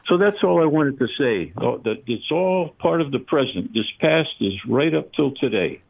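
Elderly man, eighty, halting and reflective, gravelly voice, phone-line sound. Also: mid-range voice, lively intonation. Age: 80s. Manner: halting, reflective, many fillers. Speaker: caller. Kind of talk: call-in radio chat.

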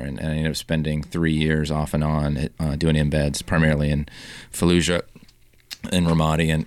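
A man in his thirties from Southern California, a guest author speaking haltingly in a radio studio interview, with many restarts and fillers. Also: extremely low pitch, no room sound.

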